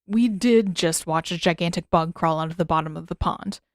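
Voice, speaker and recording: A woman's voice: fairly low pitch, moderate pace, no room sound, clean studio sound, close to an everyday voice. A woman voicing a wry, matter-of-fact teenage boy, skeptical but loyal, in an audiobook story.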